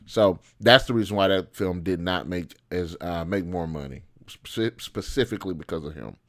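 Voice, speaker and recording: A man's voice: low voice, normal speed. A man hosting a video, talking casually and bluntly with slangy, opinionated commentary on films. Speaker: video host.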